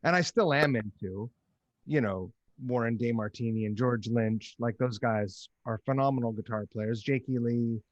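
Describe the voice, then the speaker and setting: Male podcast host, middle-aged, relaxed conversational chat, medium pitch, very dry close-mic sound. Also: normal speed, dry recording, lo-fi sound. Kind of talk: casual chat. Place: small home studio, indoors. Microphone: close broadcast mic on boom arm, headphones.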